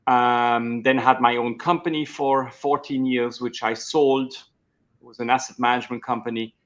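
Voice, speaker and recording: A middle-aged man from Switzerland whose first language is Swiss German, telling his story as an interview guest in a halting way, with many restarts. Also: mid-range voice, highs cut off. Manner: halting, with frequent restarts and fillers. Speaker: guest, coach. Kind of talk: conversational interview.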